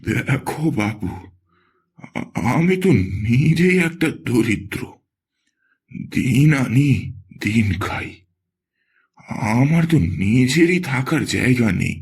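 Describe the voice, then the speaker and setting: A man playing a poor, wary old villager in a horror cartoon, with a husky, aged voice and halting delivery. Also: medium pitch, expressive, exaggerated voice. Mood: weary, apologetic. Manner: halting, drawn-out. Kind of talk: Bengali horror cartoon voice acting.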